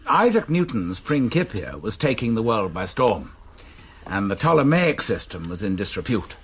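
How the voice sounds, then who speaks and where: An actor playing a precise, scholarly college librarian in a radio drama. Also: male voice, fairly low pitch, sing-song, normal speed, husky, full character voice. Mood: informative. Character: Crispin, fussy male college librarian.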